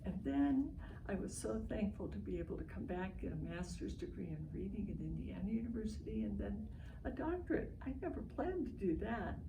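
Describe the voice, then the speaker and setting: Older American female educator, emphatic and instructive storyteller, informal online lesson, distant roomy sound with clear noise. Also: medium pitch, lively intonation, brisk. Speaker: literacy educator hosting an online session. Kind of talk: informal educational presentation to families. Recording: echoey, distant mic, noisy online stream.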